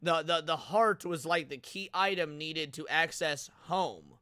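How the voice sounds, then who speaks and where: A man's voice: on the high side, unhurried, very noisy. A man hosting a livestream, giving casual reaction commentary full of swearing and slang. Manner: profane, slangy. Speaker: livestream host. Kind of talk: casual live reaction commentary to horror series.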